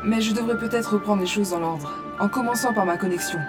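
A woman's voice: mid-range voice, a little fast, lightly acted. A woman acting a blunt, slangy, sardonic heroine in a French-language audio drama. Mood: wry narration. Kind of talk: audio drama acting and first-person narration. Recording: loud music bed underneath. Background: music.

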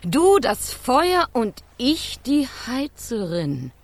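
Feisty, expressive would-be stoker woman. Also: a little high, very slow, faint music behind, full character voice. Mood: warming up. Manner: blunt, exclamatory, grumbling. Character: feisty, scornful young woman dreaming of being ship's stoker. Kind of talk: children's radio play acting.

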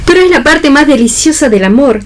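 Woman acting, high-pitched, sensual passionate lover, philosophical, theatrical radio play delivery. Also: lively intonation, a little fast. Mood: rapturous.

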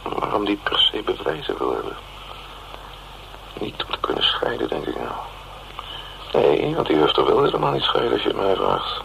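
A man's voice: deep voice, huge pitch swings, moderate pace, vocal fry, long pauses, full character voice. An actor with a Netherlands Dutch accent playing a wry, cynical working man in a radio drama, musing ruefully in a sardonic, rambling way. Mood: wry, speculating. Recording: dull, hissy old radio recording.

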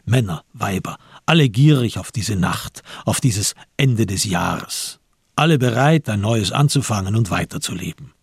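A man with a husky voice narrating a literary radio crime play in measured, deliberate phrases with dramatic pauses, in speech. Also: on the low side, lively intonation, no echo, studio quality.

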